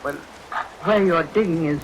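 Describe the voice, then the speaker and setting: High, nervous, superstitious caretaker. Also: male voice, slow. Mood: nervous, uneasy. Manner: hesitant, anxious, stammering. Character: nervous, superstitious, pleading male estate caretaker. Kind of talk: 1940s radio drama acting. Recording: very noisy vintage radio recording, rain behind.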